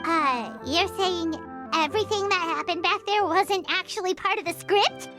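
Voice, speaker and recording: A woman voicing a tiny, childlike female fairy companion in a video game, excitable and bossy, with a squeaky voice. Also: normal speed, music in the background. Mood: puzzled. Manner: speaks of self in third person, indignant.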